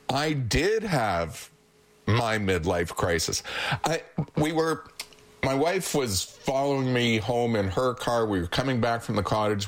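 This is a seventy-year-old man, a therapist guest on a radio talk show, spinning an anecdote at an unhurried, leisurely pace. His voice rises and falls freely, and he keeps breaking into chuckles as he teases the host. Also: medium pitch. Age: 70s. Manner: chuckling storyteller, teasing. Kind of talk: casual radio chat.

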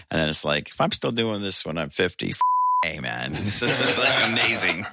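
A man in his sixties, a singer, telling an animated, laughing anecdote in an interview. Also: low voice, moderate pace, breathy edge, dry recording. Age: 60s.

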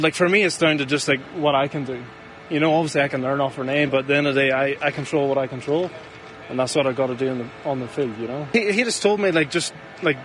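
A young man with a British accent, talking in an interview clip as a football kicker, relaxed and laid-back with lots of "like" and "you know". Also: fairly high pitch, slight background noise, low-quality recording.

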